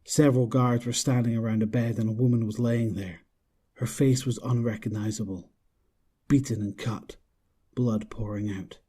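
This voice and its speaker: A man's voice: mid-range voice, steady tone, normal speed, warm tone, breathy edge. A man narrating a scripted horror drama in a dramatic storytelling style.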